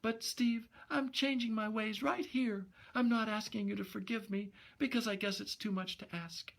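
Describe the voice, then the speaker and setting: A man's voice: sits high, fairly even intonation, moderate pace, gravelly, pitched above the performer's own voice. A man reading a storybook voicing a young woman who pleads tearfully and repents. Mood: tearful pleading.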